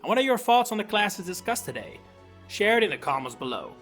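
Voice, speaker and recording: A young man with a Dutch accent, slightly high-voiced and highly animated, narrates his scripted video essay close to the mic. His delivery is enthusiastic, with wry little asides, and faint music plays softly underneath. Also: fairly quick. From Netherlands. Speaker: YouTube host. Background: faint background music.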